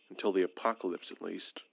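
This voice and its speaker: A man's voice: low-pitched, creaky, a little hiss or hum. Man acting trapped invasion survivor, anxious, frustrated, formal brooding delivery, audio drama, dull recording.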